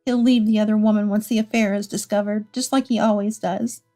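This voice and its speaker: A woman's voice: mid-range voice, fairly even intonation, a little fast, dark tone, faint music behind, close to an everyday voice. A woman voicing a hurt, protective wife and mother in a dramatized story. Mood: soothing. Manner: earnest, pleading.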